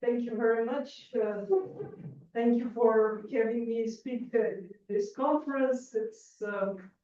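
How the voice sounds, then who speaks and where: A woman from Bulgaria giving a math conference lecture, halting, with many restarts and fillers. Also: fairly high pitch, fairly even intonation, mellow, a little hiss or hum. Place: lecture hall. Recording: echoey hall, distant lo-fi mic.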